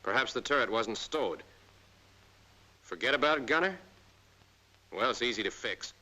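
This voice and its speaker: A man reads a scripted training-film narration at a middle pitch. He is brisk and direct and talks straight to the viewer as "you" while he walks them through each step. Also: expressive, pushed and bright, rough recording.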